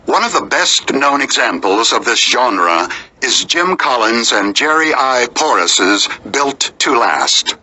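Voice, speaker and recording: A man reading nonfiction as an audiobook narrator in a measured, articulate, emphatic delivery. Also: mid-range voice, bright, forceful tone, breathy edge, poor audio.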